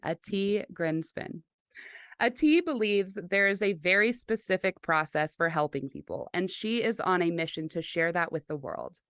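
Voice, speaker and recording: A woman hosting a podcast, reading a scripted intro and then chatting, with an earnest delivery and slow emphasis on key words. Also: fairly low pitch, fairly bright tone, dry recording, clean studio sound. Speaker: podcast host.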